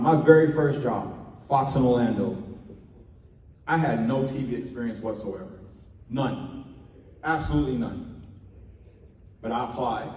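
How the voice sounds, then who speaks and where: A man's voice: medium pitch, dark tone, echoey room, in a large hall, distant mic, poor audio. A man from Trinidad giving an earnest, emphatic motivational talk at a media workshop. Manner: earnest, emphatic, with repeated phrases.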